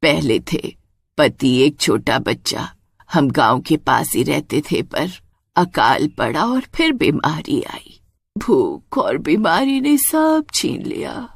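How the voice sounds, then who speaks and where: A woman voicing a sad, gentle, lonely old witch in a storybook tale, speaking in a slow, halting, weary way. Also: low-pitched, sing-song, vocal fry, husky, no echo, voice pushed down, full character voice. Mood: grieving.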